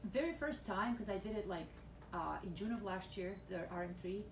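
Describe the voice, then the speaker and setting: A woman's voice: mid-range voice, moderate pace. A woman in her thirties from Bosnia, a guest chatting candidly on a radio show in a studio, with lots of fillers and restarts. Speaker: weight loss client guest. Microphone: boom mic, seen from afar. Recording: echoey studio, far camera mic, noisy.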